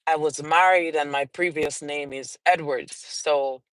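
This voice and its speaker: Slow, unhurried Jamaican woman. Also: low voice, steady tone, a bit bright, dry recording, rough recording. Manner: slow and unhurried, repeats and restarts phrases, greets live viewers. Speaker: author hosting a livestream. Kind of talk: casual livestream Q and A.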